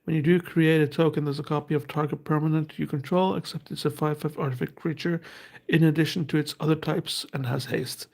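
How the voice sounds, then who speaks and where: A man's voice: fairly high pitch, steady tone, fairly quick, gravelly, a little mellow. A man, hosting a casual unboxing and reading card text aloud in a hesitant, thinking-aloud way. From Norway. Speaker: host.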